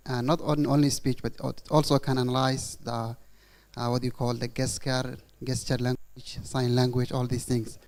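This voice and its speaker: A young man from Somalia, asking an audience question haltingly, searching for words. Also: medium pitch, a little mellow.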